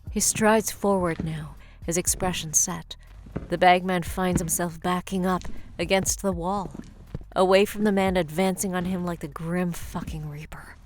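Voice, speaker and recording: A woman's voice: on the low side, steady tone, measured pace, darkish tone, slight background noise. A woman narrator delivering dramatic scripted narration for an audio story.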